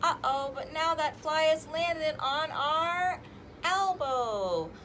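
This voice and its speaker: A woman's voice: high-pitched, lively intonation, slow, a bit bright, a little hiss or hum. A woman hosting an interactive storytime, reading aloud to young children with singsong emphasis and inviting them to join in. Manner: asks listeners questions and invites them to join in.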